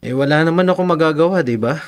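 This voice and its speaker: A man's voice: a little high, fast talker, natural voice. A male actor playing an eager, easily disappointed young boyfriend in a radio drama, with a Filipino accent.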